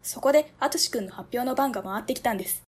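A woman's voice: fairly high pitch, quick pace, a little mellow, slightly rough. A woman playing a polite, earnest young ward office clerk who explains things carefully.

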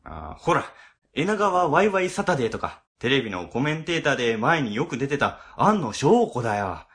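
A man's voice: mid-range voice, very animated, moderate pace, fairly bright tone. A man playing a middle-aged civil servant boss in a comic radio drama, chatty, easily flustered and ingratiating. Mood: casual, grumbling.

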